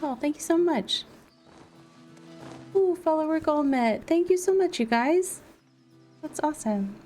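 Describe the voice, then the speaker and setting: A woman's voice: sits high, lively intonation, unhurried. A woman live streaming a game, chatting casually and thinking aloud as she plays. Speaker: live streamer, gaming content creator. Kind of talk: casual livestream gameplay chat. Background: music playing faintly behind the voice.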